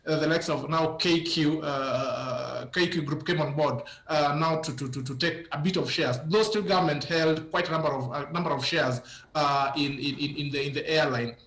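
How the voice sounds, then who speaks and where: A man with a cold, speaking as a business analyst in a live news interview, halting and repeating words often over a remote link. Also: on the high side, fairly bright tone, roomy sound. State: has a cold.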